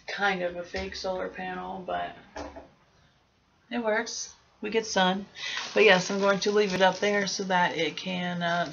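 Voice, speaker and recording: A woman's voice: mid-range voice, creaky, a bit bright, highs cut off, a little hiss or hum. A young adult woman giving a casual, informal product review, thinking aloud as she goes in a small room at home. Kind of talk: casual unboxing review chat.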